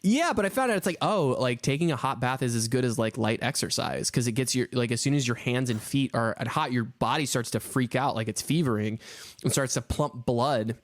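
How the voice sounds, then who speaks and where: A man with a gravelly voice chats casually on a podcast, wandering through a story and leaning on filler words. The recording is close and dry, with no room sound. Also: mid-range voice, brisk, no room sound. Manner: rambling storyteller, heavy use of "like". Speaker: podcast co-host. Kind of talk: casual chat.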